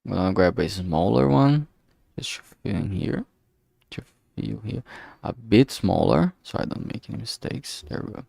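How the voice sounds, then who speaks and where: A man's voice: fairly low pitch, lively intonation, normal speed, vocal fry, darkish tone, dry recording, clean studio sound. A man casually talking through his digital art work on a livestream, murmuring each step as he goes. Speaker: streamer making a visual novel. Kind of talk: casual livestream commentary while drawing.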